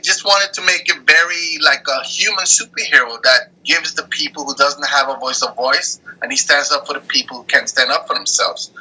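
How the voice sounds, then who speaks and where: A man's voice: medium pitch, breathy edge. A man raised in Norway, a comic creator, talking earnestly as an interview guest over a call. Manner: earnest, steady, a bit halting. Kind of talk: casual interview. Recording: tinny phone-like line.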